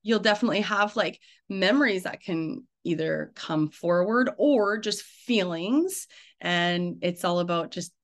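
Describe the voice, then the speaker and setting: A man hosting a casual interview, talking informally and haltingly as he thinks aloud. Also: high-pitched, fairly bright tone. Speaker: interviewer, host.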